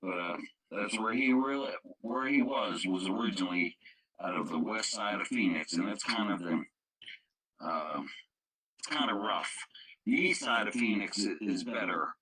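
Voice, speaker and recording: A man's voice: lowish voice, animated, moderate pace, sharp tone, slightly rough, low-quality recording. A man chatting casually on the radio, recounting things in a rambling, matter-of-fact way. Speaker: radio club participant. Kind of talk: casual radio chat, anecdotal.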